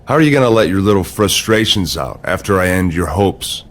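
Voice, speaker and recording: A man playing a menacing, arrogant wrestling rival in a video game, slow, deliberate and taunting. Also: lowish voice, animated, a bit bright. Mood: cold menace. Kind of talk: video game cutscene acting.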